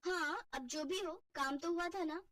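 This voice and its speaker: A woman's voice: very high-pitched, moderate pace, a bit bright, no room sound, clean studio sound, recorded from a distance, pitched above the performer's own voice. A woman voicing a lazy, pampered, cheeky young wife, whining and defiant, in a family cartoon. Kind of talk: Hindi family cartoon voice acting.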